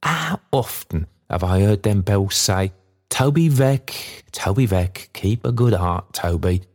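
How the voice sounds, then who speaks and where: A man's voice: fairly low pitch, expressive, slow pace, a little mellow. A man acting a folksy, kindly old father. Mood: warm, animated. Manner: folksy, rambling, colloquial speech. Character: kindly old poor working father, cheerful and musing. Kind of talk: storybook character dialogue.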